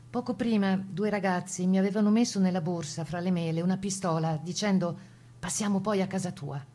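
A woman's voice: fairly low pitch, warm tone, natural voice. A woman playing a plucky Italian Resistance partisan, telling her wartime memories in the first person as a dramatized radio testimony. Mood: matter-of-fact. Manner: composed first-person storytelling.

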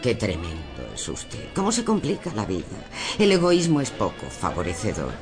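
A man's voice: on the low side, wildly swooping pitch, normal speed. A man playing a docile, smug female shopper in a surreal radio drama, theatrical and preachy, with a Castilian Spanish accent. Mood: preachy, insistent. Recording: music under the dialogue.

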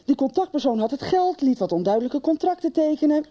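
An actress playing a bitter farmer's wife in a conversational radio soap scene. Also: female voice, high-pitched, lively intonation, fast talker, warm tone, highs cut off. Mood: bitter, sardonic. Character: bitter farmer's wife confiding about a ruinous deal.

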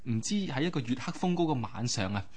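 A male radio host with a Hong Kong Cantonese accent and a medium-pitched voice. He tells stories conversationally, explaining things in colloquial language and speaking directly to listeners. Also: lively intonation, quick pace, a little mellow. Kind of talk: conversational storytelling radio talk.

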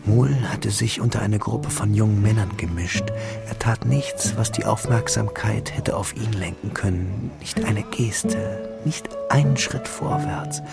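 A man recalling the past as a literary narrator. His voice is fairly low and full of creak, with a restrained, melancholic way of telling. Loud instrumental music plays behind him. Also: a little slow. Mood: reflective. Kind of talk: literary scripted narration in radio drama.

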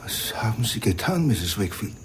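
A man's voice: fairly low pitch, big pitch swings, normal speed, a little hiss or hum. An actor playing a tender-hearted, loyal man in a radio crime drama, speaking earnestly, hesitantly and with deference. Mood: shocked, grieving.

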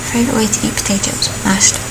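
A woman's voice: mid-range voice, flat delivery, fast, background noise. A young woman from South Yorkshire with a posh Yorkshire accent, chatting casually through a Q&A vlog with plenty of ums and dry self-mocking asides. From South Yorkshire, England. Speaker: vlogger.

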